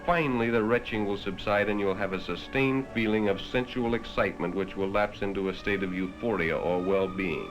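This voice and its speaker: A man's voice: fairly low pitch, brisk, hardly any pauses, poor audio. An American man reading scripted narration in the grave, dramatic, moralizing manner of a 1960s educational film, addressing the listener directly. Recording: vintage 1960s film soundtrack. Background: prominent music.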